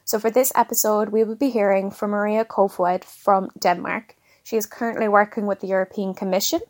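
A woman's voice: medium pitch, fairly even intonation, a little fast, a little mellow. A woman hosting an interview series, reading out scripted questions in a friendly, plain way. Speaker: interview host.

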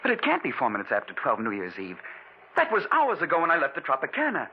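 A man's voice: fairly high pitch, huge pitch swings, quick pace. An actor playing an agitated, suspicious man with amnesia in a 1940s radio thriller. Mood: disbelieving. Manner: agitated, questioning, disbelieving. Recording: muffled, noisy old radio recording.

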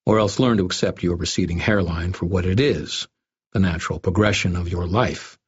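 A man voicing a stern, wry investigator wizard in an audiobook, speaking in a quiet, measured and threatening way. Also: sits low, breathy edge, lo-fi sound. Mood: stern warning. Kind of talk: audiobook first-person dialogue.

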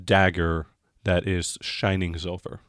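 A man with a fairly low voice narrates a tabletop game as game master. He improvises his descriptions conversationally, feeling his way through them haltingly. The sound is dry, with little room on it. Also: little pitch movement, fairly bright tone, no room sound.

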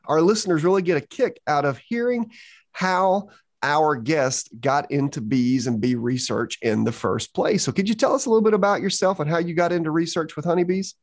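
A man's voice: on the high side, moderate pace, dry recording, clean studio sound. A man hosting an interview podcast, upbeat and enthusiastic. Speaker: podcast host.

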